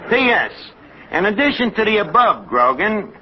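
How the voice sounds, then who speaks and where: An actor playing a wisecracking, uneducated tavern manager with a New York accent and a mock-genteel, sarcastic manner in 1940s radio comedy. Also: male voice, sits high, sing-song, normal speed. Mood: mock-formal. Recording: muffled, hissy old-time radio recording.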